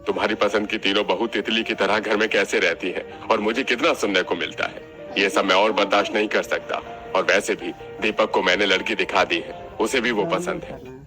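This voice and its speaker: A man's voice: on the low side, moderate pace, over music, natural voice. A man voicing a decisive, sardonic older father-in-law who heads the household in a cartoon. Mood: stern.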